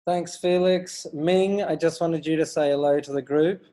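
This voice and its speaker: A man's voice: fairly high pitch, fairly even intonation, normal speed, dark tone. A man organizing a video call meetup, easygoing as he asks people to introduce themselves. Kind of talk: casual video call moderating.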